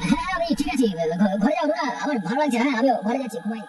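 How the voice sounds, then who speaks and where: A woman's voice: high voice, lively intonation, racing, recorded from a distance, noisy background. A woman voicing a nervous, secretive father in a cartoon, stammering out excuses. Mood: nervous, evasive. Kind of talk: cartoon drama voice acting. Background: music.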